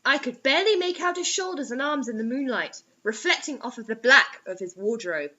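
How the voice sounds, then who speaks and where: A woman's voice: sits high, animated, brisk, pushed and bright, lo-fi sound. A woman reading fan fiction aloud for comedy, breaking off into sarcastic, mock-dramatic asides.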